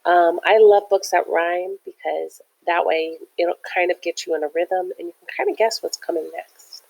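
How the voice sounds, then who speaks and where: A woman's voice: on the low side, steady tone, moderate pace, gravelly, a little mellow, poor audio. A woman reading a picture book aloud like a teacher, with chatty, encouraging asides that explain things simply to young listeners. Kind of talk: animated children's read-aloud with chatty asides.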